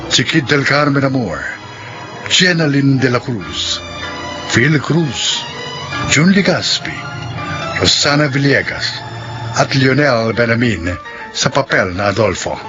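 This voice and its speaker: A man announcing the cast and credits of a radio drama in a measured, solemn voice. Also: mid-range voice, expressive. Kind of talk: formal scripted announcing. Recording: muffled radio broadcast with music.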